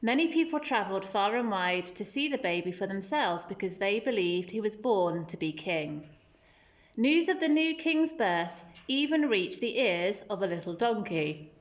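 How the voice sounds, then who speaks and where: A woman's voice: mid-range voice, sing-song, normal speed, muffled recording. A woman teacher reading a children's storybook aloud as scripted narration.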